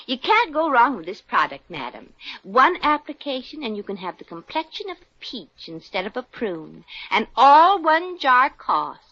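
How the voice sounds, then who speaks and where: An actress playing a brash, wisecracking young working woman with quick sales patter in a 1950s radio comedy. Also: sits high, sing-song, sharp tone, exaggerated voice. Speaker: lead character. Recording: dull lo-fi old radio broadcast.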